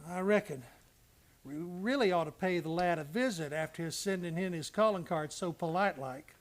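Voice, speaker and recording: A man plays a loyal cowboy in a radio western, his voice pitched high for a man. He is plainspoken and earnest, with folksy frontier turns of phrase. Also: moderate pace, close to an everyday voice. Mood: wry. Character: Clancy, loyal, plainspoken cowboy, devoted husband. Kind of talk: western radio drama acting.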